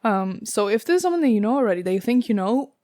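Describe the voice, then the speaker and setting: A young woman with a raspy, sore-throated voice, giving a casual tarot reading in a rambling way, full of fillers and the odd swear word. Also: fairly high pitch, expressive, brisk, no room sound. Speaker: tarot reader.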